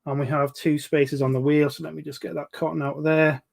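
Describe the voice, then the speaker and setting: A man's voice: fairly high pitch, flat delivery, a little fast, vocal fry, a little mellow. Chatty London man in his forties. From England. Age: 40s. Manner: chatty, rambling, full of ums, banters with viewers. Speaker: livestream host reviewing vape juices. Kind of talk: casual live chat reading viewer comments.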